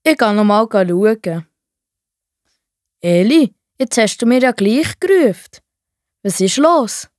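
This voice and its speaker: A woman's voice: a little high, expressive, slow pace, long pauses, a little mellow, dry recording, clean studio sound. A woman playing an eager, obedient young boy in a children's Bible audio play. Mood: puzzled, eager.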